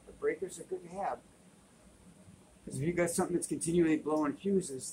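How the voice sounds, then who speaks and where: A middle-pitched man in his fifties explains boat gear in a practical, unhurried way, dropping in uh and um as he goes. He's recorded by a webcam in a cluttered indoor workshop. Steady room noise sits clearly under his voice. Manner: plain-spoken, practical, with frequent uh and um. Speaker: sailing channel host. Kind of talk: casual how-to explanation.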